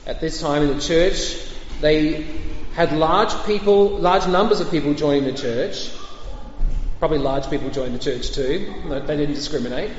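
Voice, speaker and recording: A man's voice: a little high, moderate pace, slight background noise. Man preaching in an echoey church hall. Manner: conversational preaching with fillers and light humor. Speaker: pastor. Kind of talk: sermon. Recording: echoey hall, dull lo-fi sound.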